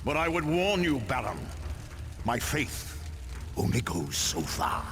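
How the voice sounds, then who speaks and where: An actor playing a menacing supervillain mastermind in a game, speaking formally and pompously. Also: male voice, mid-range voice, sing-song, slow pace. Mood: threatening. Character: imperious, menacing male supervillain mastermind in command. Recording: noisy game cutscene audio.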